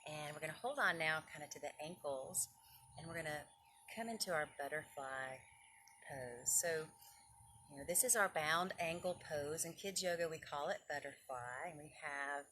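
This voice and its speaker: Lower-pitched woman teaching children's yoga. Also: moderate pace, bright, forceful tone, slight background noise. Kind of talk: informal instruction and picture-book storytelling for children.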